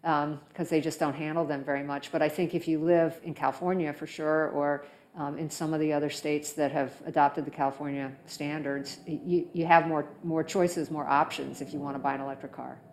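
A woman with a notably low-pitched voice works as an interview host. She speaks conversationally, in halting, thoughtful phrases broken by frequent pauses. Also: little pitch movement, a little mellow.

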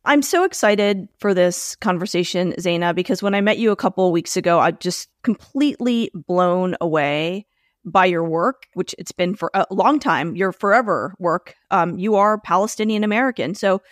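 A woman hosting a podcast, forceful and emphatic, repeating points for punch as she rails and then interviews her guest. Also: lowish voice, normal speed, no echo, studio quality. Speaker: podcast host. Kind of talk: impassioned opinion monologue and interview.

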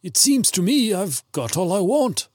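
A man voicing an elderly father, curious and wistful, in a thin, husky voice, as part of a story reading. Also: sits high, sing-song, unhurried, no room sound, clean studio sound, pitched above the performer's own voice, exaggerated voice. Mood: sheepish, doubtful.

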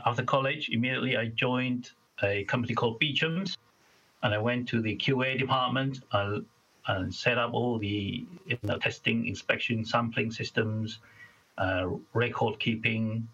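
A man from Malaysia talks casually as a guest, stringing lists of details together. His voice sits at a middling pitch for a man. The sound is a little dull and lo-fi. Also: pushed and bright, slightly rough. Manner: chatty, listing details. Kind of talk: casual interview talk.

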